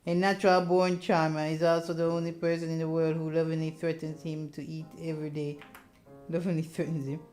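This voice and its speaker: A woman with a low voice narrates a visual novel for a playthrough. She moves between plain story reading and playful, slangy remarks, with music playing underneath. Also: level tone, a little fast, a little mellow. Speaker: let's player reading a visual novel aloud. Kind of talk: casual narration and commentary on a game.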